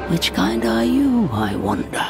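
An actor playing a wry, embittered old swamp hermit in a fantasy game, ominous and given to breathy drops into whispers. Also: male voice, sits high, wildly swooping pitch, exaggerated voice. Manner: slow, ominous, dropping into whispers. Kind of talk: dramatic fantasy game acting. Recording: loud game music behind the voice.

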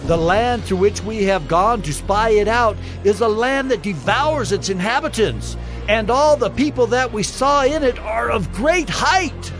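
Bold Israelite scout, emphatic audio Bible delivery, high voice, swooping intonation. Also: male voice, animated, a little slow, over music. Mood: alarmed. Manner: declamatory, emphatic. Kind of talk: dramatized audio Bible acting.

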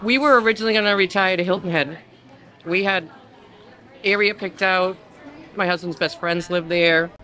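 A woman's voice: on the low side, a bit bright, low-quality recording. An older woman chatting casually as an interviewee on a restaurant patio, speaking into a close stand mic. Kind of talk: casual chat. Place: covered restaurant patio outdoors.

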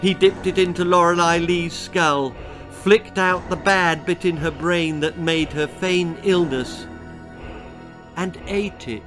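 Slow, theatrical older male storyteller. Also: on the high side. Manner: theatrical, savouring words. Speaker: author reading own story. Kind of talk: dramatic children's horror storytelling. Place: small book-lined room indoors.

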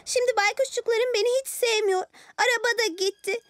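Bright, very high little girl superhero. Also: fairly even intonation, brisk, no echo. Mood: remorseful. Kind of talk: animated children's cartoon dubbing. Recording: light music behind the voice.

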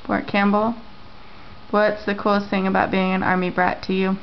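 A woman interviewing family members at home, repeating their answers back and asking gentle questions. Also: mid-range voice, flat delivery, moderate pace, a little hiss or hum. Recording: lo-fi home camera audio.

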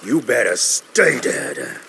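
Slow, gruff vampire hunter. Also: male voice, medium pitch, wildly swooping pitch, pushed and bright, slight background noise, heavily acted. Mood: irritated. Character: gruff, grumbling male vampire hunter, blunt and sardonic. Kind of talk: video game cutscene acting.

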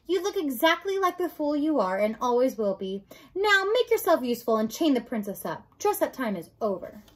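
A woman's voice: high voice, very animated, fairly quick. A woman voicing a cruel, scornful male rat villain in a storybook, with formal, mocking, haughty speech.

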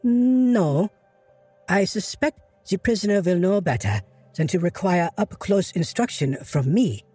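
Commanding woman soldier in disguise, old-fashioned speech, lively pitch, voiced by a man. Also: a little slow, no room sound, faint music behind.

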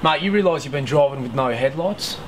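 A man's voice: on the high side, animated, a little fast, slight background noise, lightly acted. A young man with an Australian accent playing a smug, bullying policeman in a mockumentary comedy. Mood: stern. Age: 20s.

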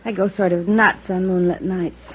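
A woman's voice: lowish voice, steady tone, normal speed, slightly rough. An American actress playing a bitter, world-weary, tipsy woman in a 1950s radio drama. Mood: wistful. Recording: dull, hissy old radio recording.

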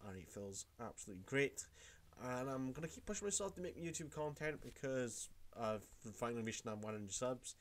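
A young man with a cold, rambling through a casual tutorial vlog from his room at home. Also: medium pitch. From Wales. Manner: rambling, repeats himself. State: has a cold. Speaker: YouTuber.

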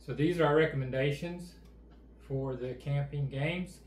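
A man's voice: fairly high pitch, moderate pace. A middle-aged man, co-hosting a casual channel chat with an easygoing, plainspoken manner. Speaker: co-host. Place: indoor room with game table and backdrop. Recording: echoey room, faint background noise.

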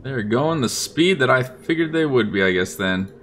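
A man giving casual, unhurried gameplay commentary, thinking aloud as he plans. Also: mid-range voice, expressive, fairly bright tone, soft background music. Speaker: gaming video host.

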